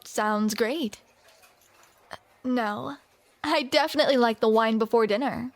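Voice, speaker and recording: A woman performing a romantic audio roleplay, her voice sitting a little high and her delivery unhurried. She speaks close to the microphone and teases playfully in a scripted, intimate way. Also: no room sound. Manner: playful, teasing banter.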